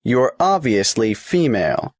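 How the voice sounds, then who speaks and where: An actor playing a government clerk who patiently and methodically takes down a tax application in a scripted listening-test dialogue. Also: male voice, medium pitch, very animated, unhurried, no echo, clean studio sound. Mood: matter-of-fact.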